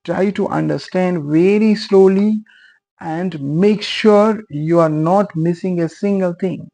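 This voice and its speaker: A man's voice: high voice, slow pace, creaky, warm tone. A young man whose first language is Urdu, earnestly explaining a beginner's tutorial and repeating his points, with many fillers. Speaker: teacher.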